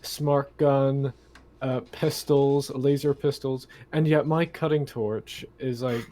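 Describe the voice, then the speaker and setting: A man chats casually as a player in a tabletop game, wry and tentative. He talks slowly, with a dark, mellow tone. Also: mid-range voice, steady tone.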